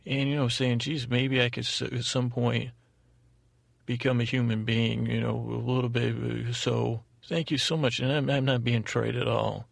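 A man hosting a bedtime podcast in a meandering, halting monologue, repeating himself and trailing off, gently self-deprecating. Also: medium pitch, level tone, creaky, no echo. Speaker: podcast host. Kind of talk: rambling sleep-podcast monologue with listener thanks.

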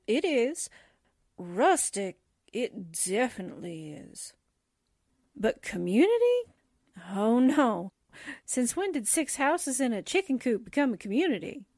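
A woman's voice: fairly high pitch, sing-song, slow pace, a little mellow, dry recording, clean studio sound, exaggerated voice. A woman playing a sardonic, reluctant sidekick to a newspaperwoman, with wry 1940s radio drama delivery.

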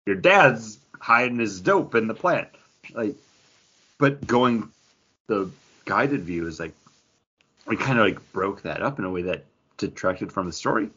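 A man chats casually and offers opinions as a podcast co-host. His voice is husky and on the low side. The recording is a bit dull and lo-fi. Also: animated, unhurried, pauses often, sharp tone. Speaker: podcast co-host reviewing comic books.

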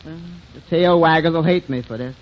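An actor playing a wry, doubtful, reluctant tavern waiter, hesitant and drawn-out, in 1940s radio comedy style. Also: male voice, on the high side, close to an everyday voice. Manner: hesitant, drawn-out, wryly skeptical delivery. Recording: muffled 1940s radio recording, faint noise.

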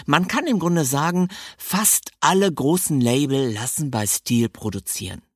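A man's voice: on the high side, lively intonation, measured pace, slightly rough, dry recording, clean studio sound. A man hosting a talk podcast, chatting and presenting to listeners and guests. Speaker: podcast host and interviewer.

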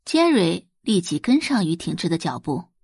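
A woman's voice: on the low side, very animated, moderate pace, a little mellow, husky, no room sound. A woman giving dramatic scripted narration of romance fiction as an audiobook narrator.

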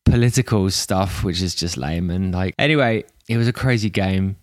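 A man with an English accent hosting a podcast, slightly hoarse, rambling casually with restarts and fillers. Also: low-pitched, normal speed, no room sound, clean studio sound. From England. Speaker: podcast host. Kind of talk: casual monologue intro.

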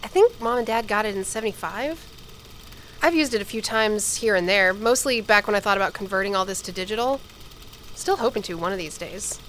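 An actress playing an easygoing, nostalgic adult sister, talking casually and playfully in naturalistic audio drama dialogue. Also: female voice, mid-range voice, lively intonation, a little fast, fairly bright tone, a little hiss or hum, close to an everyday voice. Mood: nostalgic, amused. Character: nostalgic, easygoing adult sister watching old home movies.

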